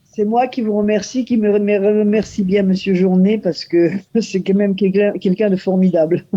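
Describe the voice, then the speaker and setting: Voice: medium pitch, steady tone, normal speed, warm tone, muffled recording. A speaker at a conference describing a project, talking hesitantly with many fillers. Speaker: beneficiary speaker. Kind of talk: conference talk.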